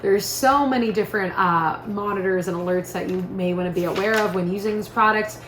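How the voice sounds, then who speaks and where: Chatty woman doing a casual product demo, upbeat, mid pitch, roomy echo. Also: brisk, fairly bright tone. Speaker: product presenter. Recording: echoey room with faint noise.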